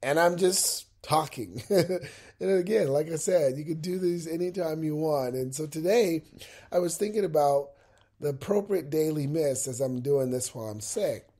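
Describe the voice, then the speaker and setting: A man with a stuffy head cold hosts a motivational podcast from his bed. His voice sits a little high for a man yet sounds mellow and warm. He talks in an upbeat, encouraging way, speaking straight to listeners through a laptop mic. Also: moderate pace. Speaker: podcast host. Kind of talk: unscripted motivational podcast monologue. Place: at home, in bed.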